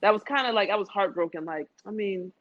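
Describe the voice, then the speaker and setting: Lady just chatting w her friend on a podcast, kinda muffled low quality audio. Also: fast. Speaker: podcast speaker. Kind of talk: casual travel chat between friends. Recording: dull lo-fi sound.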